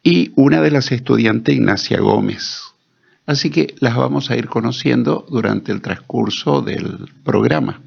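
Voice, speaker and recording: A man hosting a church program, interviewing guests in a slow, deliberate manner with a gravelly, husky voice. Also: medium pitch, a little mellow. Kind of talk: friendly church radio interview. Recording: dull lo-fi sound.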